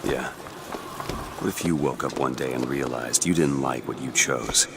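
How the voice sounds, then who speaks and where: An actor playing a gruff, world-weary hired gunman in a video game, dry and sardonic. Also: very deep voice, normal speed, noisy background.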